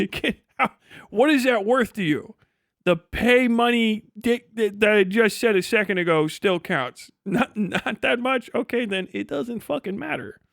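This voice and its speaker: Man, higher-pitched voice, dry close sound, casual streamer commentary, blunt, sardonic, profane. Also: unhurried, creaky, fairly bright tone, no echo. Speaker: livestream host.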